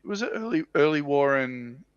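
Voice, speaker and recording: A man's voice: on the high side, lively intonation, normal speed, clean tone, no echo. A man co-hosting a livestream, talking casually about a hobby over a dull microphone. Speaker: livestream co-host. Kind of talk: casual hobby chat about tabletop wargames.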